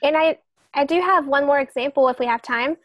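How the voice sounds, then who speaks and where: Higher-pitched woman explaining on a podcast. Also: brisk, no echo, dull audio. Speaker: fiction author and podcast panelist. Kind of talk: podcast discussion, explaining writing tips.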